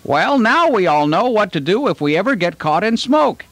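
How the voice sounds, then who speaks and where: Man playing children's puppet show host, high lively voice, earnest, instructive, husky, dry sound. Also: moderate pace, dry recording, faint music behind, heavily acted. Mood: upbeat. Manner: instructive, addressing children directly. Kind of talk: scripted children's safety show.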